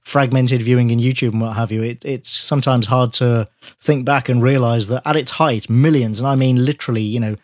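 British male co-host, mid-pitched, reflective and hedging, dry unroomy recording. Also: fairly even intonation, fairly quick, dry recording. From United Kingdom. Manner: thoughtful, hedging with "you know" and "I mean". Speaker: podcast co-host. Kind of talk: casual chat.

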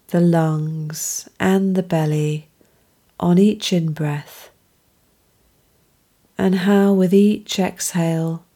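Very slow, mellow woman guiding meditation. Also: lowish voice. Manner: calm, soothing, unhurried with gentle phrasing. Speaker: meditation guide. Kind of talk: guided mindfulness meditation.

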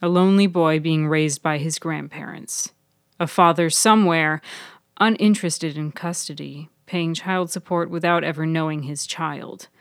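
A low-voiced woman narrates scripted fiction for a podcast. Her voice is recorded close and dry, with no room around it. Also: steady tone, normal speed, no echo.

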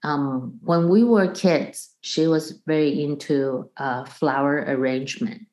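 A middle-aged woman from Taiwan talks as a guest in a conversational interview. Her voice sits low and she explains carefully, often restarting a phrase to add an example. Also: slow, darkish tone, low-quality recording. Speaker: guest, percussion professor.

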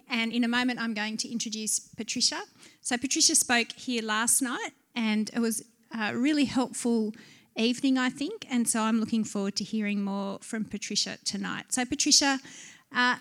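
A woman with a somewhat high voice opens a small live seminar as host. She is friendly and a little hesitant, with plenty of fillers between phrases. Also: moderate pace, a bit bright. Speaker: seminar host, assistant director. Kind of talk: live event introduction. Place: seminar room with a small audience.

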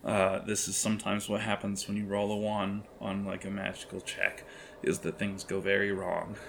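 A man improvising narration as game master of a tabletop horror game, halting as he searches for words. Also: lowish voice, completely flat delivery, gravelly, a bit bright, slight background noise.

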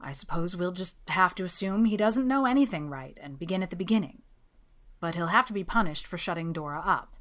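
A woman's voice: lowish voice, expressive, fairly quick, fairly bright tone. A woman voicing a stern, grim older woman raising a child, weary and dry in manner.

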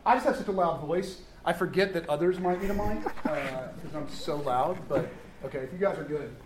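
A man speaking quickly and apologetically from across a church, away from the microphone. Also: fairly high pitch, noisy background. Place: church sanctuary. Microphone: off-mic, from across the room. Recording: quiet, distant voice across a room.

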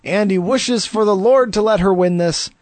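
High-voiced man recapping wrestling. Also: normal speed, a little mellow, dry recording. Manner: play-by-play recapping, laughs easily. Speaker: podcast co-host. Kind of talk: casual wrestling recap chat.

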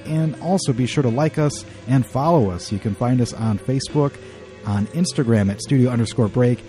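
A man's voice: medium pitch, mellow. A man hosting a podcast in a conversational, announcer-like way. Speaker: podcast host.

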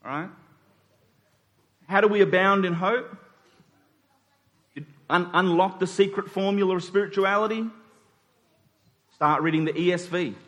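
A man's voice: sits high, measured pace, pauses often, fairly bright tone. A man preaching a conversational sermon in an informal way, acting out dialogue in everyday words. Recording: long hall reverb.

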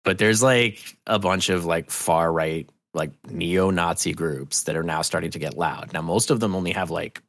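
A middle-aged man from New England giving a casual interview as a podcast guest, halting and thoughtful, often correcting himself. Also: sits low, a bit bright. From New England, United States.

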